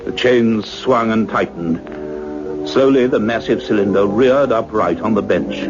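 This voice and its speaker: Male, acting grave, intense doctor of science in radio drama; lowish pitch, muffled lo-fi recording with faint music. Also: natural voice. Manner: deliberate, intense, dramatic phrasing. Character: earnest male scientist, doctor, excited yet grave and cautious.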